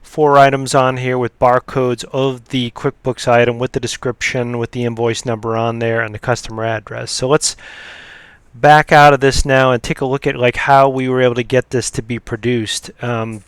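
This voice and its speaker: A man giving an unscripted software demo in a halting, matter-of-fact way as he explains each step. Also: medium pitch, level tone, clear, resonant tone, no room sound. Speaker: software demonstrator. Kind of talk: unscripted screen-recorded software tutorial.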